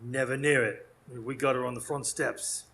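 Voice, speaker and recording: A man's voice: medium pitch, steady tone, normal speed, vocal fry, pushed and bright. A man playing a brusque, officious police inspector in a radio drama, eager and easily flustered. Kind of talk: live radio drama acting. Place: performance space in front of a live audience.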